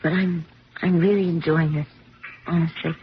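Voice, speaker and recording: A woman's voice: fairly low pitch, steady tone, normal speed, lightly acted. An actress playing an earnest, self-doubting young career woman in a radio drama, speaking formally and hesitantly. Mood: reserved, candid. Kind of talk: 1970s radio drama acting. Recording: dull, noisy 1970s radio broadcast recording.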